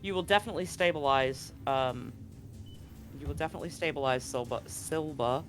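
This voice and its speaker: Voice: mid-range voice, fairly quick, a bit bright, faint music behind. A game master running a live tabletop roleplaying game, talking casually and jokily with some swearing.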